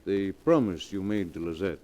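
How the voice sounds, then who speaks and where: The sensible worried pal in an old radio play, low dark voice, earnest, persuasive. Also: male voice, expressive, normal speed. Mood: earnest, reassuring. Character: concerned, sensible male best friend, urging and reassuring. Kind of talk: 1940s radio acting. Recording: noisy old 1940s radio recording.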